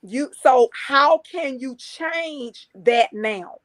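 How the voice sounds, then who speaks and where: A woman's voice: high voice, very slow, fairly bright tone. A woman guest on a podcast, speaking emphatically and stacking phrases for effect. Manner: emphatic, building lists for effect. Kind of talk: casual interview chat.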